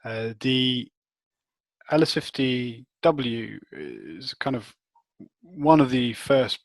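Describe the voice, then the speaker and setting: A man's voice: medium pitch, no echo. A man giving technical interview answers as an expert, thinking aloud and often rephrasing as he goes. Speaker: interviewed expert, head of research and development.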